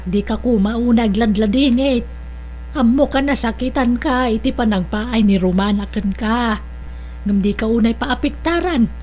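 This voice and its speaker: A woman's voice: fairly high pitch, slight background noise, natural voice. A woman playing a caring mother who consoles and advises her grown son in an Ilocano audio drama. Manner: soothing, advising.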